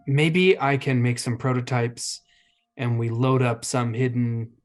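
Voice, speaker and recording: A man's voice: mid-range voice, steady tone, slow pace. A man playing a tabletop roleplaying game, improvising in character and casually discussing play.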